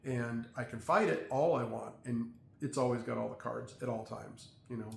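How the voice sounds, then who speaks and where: A middle-aged man talking in a casual, rambling monologue, halting with many fillers and restarts. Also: mid-range voice, fairly even intonation, creaky, a little hiss or hum. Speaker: vlogger. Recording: echoey room, faint ambience.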